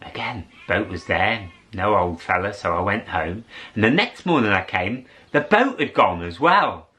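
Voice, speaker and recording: A man telling a story aloud in a theatrical way, with dramatic pauses and drawn-out words. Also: fairly low pitch, lively intonation, pushed and bright. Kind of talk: animated oral storytelling to an audience.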